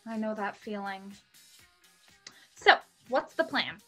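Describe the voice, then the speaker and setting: A woman's voice: medium pitch, lively intonation, takes it slowly, faint music behind. A young woman playing a doting, blunt, sassy fifty-year-old mother in a play reading over a video call. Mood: sardonic. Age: young adult. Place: home bedroom, indoors. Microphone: video call on computer.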